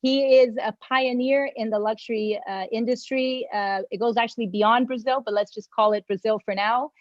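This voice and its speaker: A woman's voice: on the high side, steady tone, moderate pace, no echo. A woman who grew up in Mexico, moderating and opening a virtual panel with effusive, gracious thanks to her guests. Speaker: panel moderator and agency founder. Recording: dry, slightly dull video call audio.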